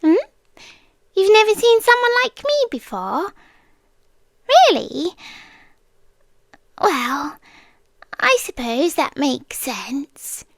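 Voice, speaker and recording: Woman acting as girlish deer-spirit monster, British accent, sweetly menacing, teasing, very lively intonation, dry close-mic ASMR, soft whispers. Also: sits high, drawn-out words, long pauses, no echo, exaggerated voice. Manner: coy, teasing, breathy whispers mixed with soft speech. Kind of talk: ASMR roleplay. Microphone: close microphone.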